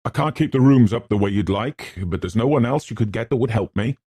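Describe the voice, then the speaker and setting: Man as a cold, forbidding housekeeper woman, raised pitch. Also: fairly quick, slightly rough, dry recording, studio quality. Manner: cold, monotonous recitation.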